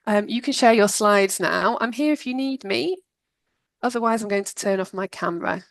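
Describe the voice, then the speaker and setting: A woman's voice: mid-range voice, moderate pace, no echo, studio quality. A woman hosting a live webinar, upbeat and welcoming as she greets the audience and introduces the guests. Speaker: webinar host.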